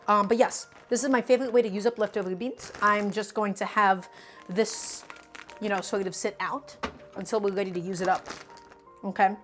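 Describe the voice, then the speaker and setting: A woman whose first language is Russian, casually demonstrating a recipe in her home kitchen on a live stream and chatting with viewers as she works. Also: medium pitch. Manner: chatty, answers viewer chat while cooking. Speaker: cooking streamer.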